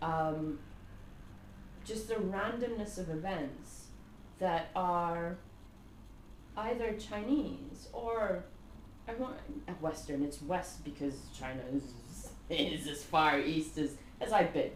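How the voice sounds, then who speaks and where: Animated woman from Kuwait, echoey room. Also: on the low side, slow. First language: Arabic. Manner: animated storyteller, laughs often, many fillers. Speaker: podcast guest. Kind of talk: casual conversation. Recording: echoey room with faint noise.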